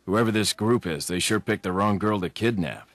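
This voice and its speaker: A young American government agent with a very low voice. He speaks in a cool, understated way with a dry, wry edge, in the manner of a video game cutscene. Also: measured pace, fairly bright tone. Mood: cool, confident.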